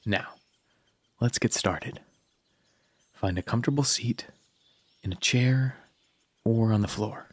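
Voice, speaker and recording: Man, guided meditation narration, unhurried pace, soothing, long pauses, dry sound. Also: on the low side, no echo.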